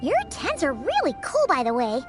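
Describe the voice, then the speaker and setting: A woman's voice: sits high, wildly swooping pitch, moderate pace. A woman voicing a tiny, chirpy and blunt fairy companion in a video game. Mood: cheerful. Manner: perky, refers to self in third person. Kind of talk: video game character dialogue. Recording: game music behind voice.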